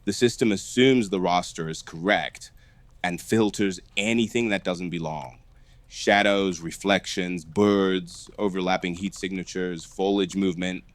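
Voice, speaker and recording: A man plays a methodical technician in a found-footage horror drama. His voice sits low, and he explains things precisely in a dry, matter-of-fact way with a wry edge. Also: steady tone, unhurried, no echo. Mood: dry, explanatory. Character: dry, methodical male computer technician at a dinosaur park. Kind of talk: scripted found-footage horror film dialogue.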